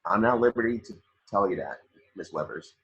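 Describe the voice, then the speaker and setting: A man's voice: on the low side, expressive, brisk, a bit bright, breathy edge, muffled recording, exaggerated voice. A man reading the part of a firm, exasperated veteran homicide detective in an amateur table read of a film script.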